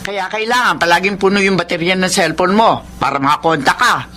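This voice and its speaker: A woman's voice: fairly low pitch, brisk, bright, forceful tone. An actress playing a brash, sly woman broker in a radio drama, exclaiming and wheedling. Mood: smooth, sly. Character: brash, scheming woman broker named Pining, defensive and sly.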